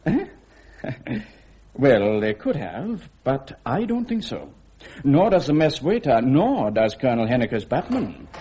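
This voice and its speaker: An actor playing a pushy, insinuating newspaper reporter, ingratiating and sly, in a radio drama. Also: male voice, medium pitch, wildly swooping pitch, a little slow, mellow, slightly rough, exaggerated voice. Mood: sly, probing. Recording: dull lo-fi radio drama recording.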